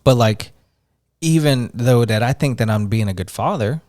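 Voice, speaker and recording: A man in his thirties co-hosting a casual chat podcast, easygoing and quick to laugh. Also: medium pitch, darkish tone, no room sound, clean studio sound. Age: 30s. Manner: laughs often, easygoing, fillers like "man" and "bro". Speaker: podcast co-host.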